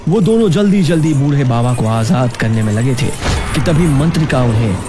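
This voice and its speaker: A man's voice: mid-range voice, lively intonation, normal speed. A man narrating a cartoon story in a dramatic, suspenseful way. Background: loud background music.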